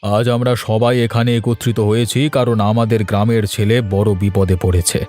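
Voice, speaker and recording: A man voicing a grave, commanding village leader in a fairy tale cartoon, measured and authoritative. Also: lowish voice, level tone, darkish tone, pitched below the performer's own voice, close to an everyday voice. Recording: voice over background music.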